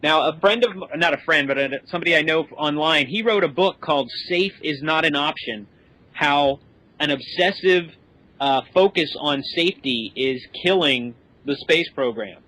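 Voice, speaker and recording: Man with a fairly high voice, explaining. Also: normal speed, sharp tone, dry recording, rough recording. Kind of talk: casual panel discussion.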